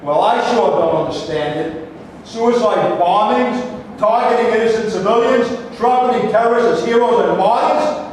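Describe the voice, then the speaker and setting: A man acting an indignant, argumentative defender of his nation in a staged reading, speaking in a deliberate, declamatory way. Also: high-pitched, darkish tone, lightly acted. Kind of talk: staged reading of a two-man debate play. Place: reverberant room at a live staged reading. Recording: echoey hall from far off, noisy.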